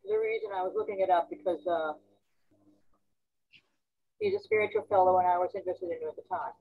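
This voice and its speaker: A woman's voice: lowish voice, fairly quick, husky, phone-quality sound. A woman talking informally and reflectively during a group video call. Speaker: former member of a women's arts colony.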